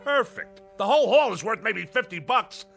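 An actor playing a sarcastic, streetwise small-time crook who grumbles and wisecracks in a radio drama. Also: male voice, high-pitched, animated, moderate pace, creaky, a bit bright, soft background music, heavily acted. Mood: grumbling.

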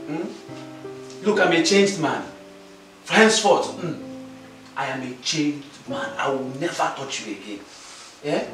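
A man playing a desperate begging husband. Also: mid-range voice. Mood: pleading. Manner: begging, then screaming. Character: desperate pleading husband turned raging shouter. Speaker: actor. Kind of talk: melodrama acting. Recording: echoey room from far off with music.

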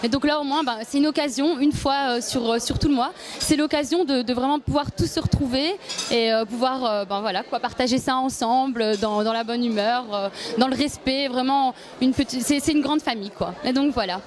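A young woman with a high voice speaks into a handheld mic at an indoor gathering. She talks in halting bursts, stopping often to restart her sentences. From Algeria, M'sila province. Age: young adult. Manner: hesitant, many fillers and restarts. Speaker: youth association member. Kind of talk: on-camera interview at an event. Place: indoor event hall.

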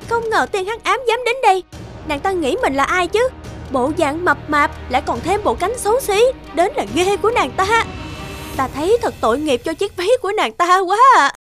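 A vain, jealous fairy, put on by a woman, extremely high and shrill. She sneers cattily in cartoon style, with clear music behind. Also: animated, normal speed, a bit bright. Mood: catty. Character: vain, catty, jealous beautiful fairies at a banquet. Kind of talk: animated fairy tale dubbing.

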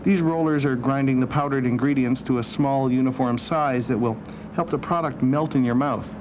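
A man with a medium-pitched voice presents a scripted documentary in a wry, deadpan way. The sound is dull and lo-fi, like an old video soundtrack, with a clear hum of machinery behind him. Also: moderate pace. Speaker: host and narrator. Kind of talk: scripted documentary narration with dry humor.

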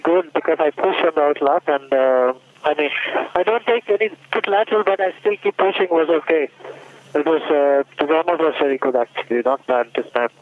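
Guy race driver on crackly muffled team radio, halting debrief, lots of noise. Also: a little high, animated. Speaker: racing driver.

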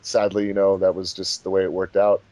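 A man with a fairly low voice talks casually on a group commentary track. The recording is close and dry. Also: flat delivery, brisk, darkish tone, dry recording. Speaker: fandub director and commentator.

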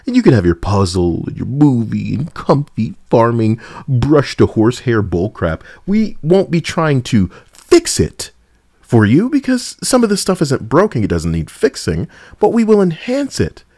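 A man's voice: mid-range voice, huge pitch swings, a little slow, a little mellow, dry recording, studio quality. A man giving casual, blunt and sarcastic opinion commentary as a gaming video host, with an edgy streak. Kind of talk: casual opinion commentary over game footage.

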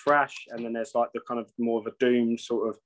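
A man's voice: mid-range voice, little pitch movement, fairly quick. A man, a metal singer talking casually over a video call from home, rambling with frequent swearing and restarts. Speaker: metal band singer and bassist, guest. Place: home room with shelves. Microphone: video call webcam.

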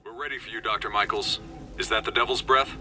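An actor playing a confident armored mercenary guard in a video game, clipped and businesslike. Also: male voice, on the low side, steady tone, quick pace, pushed and bright, low-quality recording.

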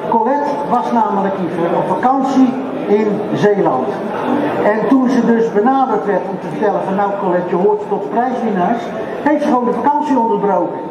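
In a big, heavily reverberant hall with a loud crowd, an older man presents awards the stage. His voice is high for a man and. He talks informally and haltingly, as if chatting to the audience. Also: expressive, lo-fi sound. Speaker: prize ceremony presenter. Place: large indoor event hall. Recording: echoey large hall, loud crowd noise.

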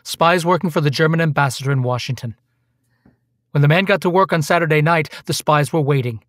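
An actor playing a hard, menacing investigator in a radio play, pressing and accusatory with theatrical delivery. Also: male voice, fairly high pitch, fairly quick, a bit bright, no echo, studio quality, close to an everyday voice. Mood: grim recounting. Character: hard, menacing investigator grilling an immigrant wife.

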